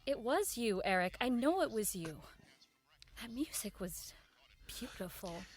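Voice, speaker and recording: A woman's voice: on the high side, very animated, a little slow. An actress playing an earnest, persistent woman who runs a radio show, in audio drama dialogue and first-person narration. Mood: earnest insistence.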